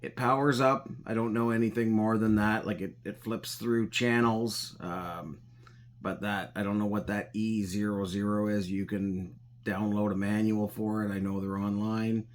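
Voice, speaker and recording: A middle-aged man talking casually and unscripted in a home vlog, halting and rambling as he thinks aloud. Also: fairly low pitch, flat delivery, slight background noise. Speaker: hobbyist electronics recycler and video host. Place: indoors at a home coffee table.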